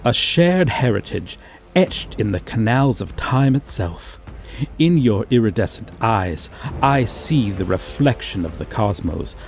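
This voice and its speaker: A man's voice: on the low side, lively intonation, unhurried, soft background music. A man voicing a haughty, courting dragon with pompous, archaic diction in an ASMR fantasy romance roleplay. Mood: grand, reverent. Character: haughty, archaic-speaking male dragon, tenderly courting a human.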